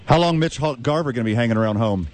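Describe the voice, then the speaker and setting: A man with a Texan accent hosting a sports radio show, talking with loud, hype-man enthusiasm. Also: mid-range voice, lively intonation, fast talker. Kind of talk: casual sports radio chat.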